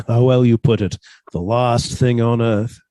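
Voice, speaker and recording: An actor playing a mild, henpecked older botany professor with a halting, polite manner in a radio suspense drama. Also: male voice, medium pitch, level tone, dark tone, dry recording, close to an everyday voice. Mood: quietly scheming.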